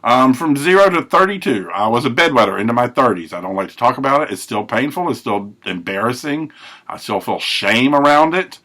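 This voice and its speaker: A man hosting a recovery podcast, telling his life story in a rambling, self-correcting way with a big, loud voice. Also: mid-range voice, expressive, brisk. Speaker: podcast host. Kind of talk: personal recovery monologue.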